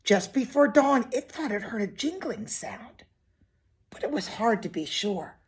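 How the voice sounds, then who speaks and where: A woman's voice: fairly high pitch, expressive, measured pace, breathy edge. A woman reading a picture book aloud expressively to young children, with simple asides and questions to her listeners.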